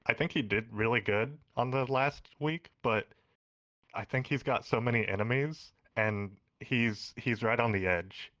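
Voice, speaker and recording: A man's voice: mid-range voice, unhurried, fairly bright tone, no echo. A man speaking casually as an eliminated contestant in an online interview, joking and rambling through his answers. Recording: dull, lo-fi mic sound.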